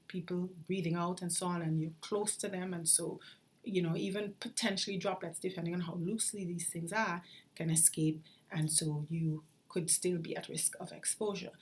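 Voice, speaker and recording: A woman physician speaks in a somewhat low voice, casual and conversational. She reasons through her points aloud with an earnest, puzzled air, checking in with the listener through "right?" and "you know". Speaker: infectious diseases physician. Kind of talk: casual spoken commentary to camera.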